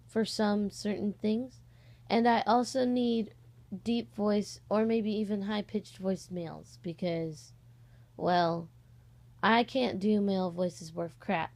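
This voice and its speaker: Creaky-voiced teenage girl rambling casually. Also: mid-range voice, slow, a little mellow. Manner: informal, hesitant and rambling, frequent "like" asides. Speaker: amateur voice-acting channel creator. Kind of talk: casual rambling vlog announcement. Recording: cheap, slightly distorted microphone.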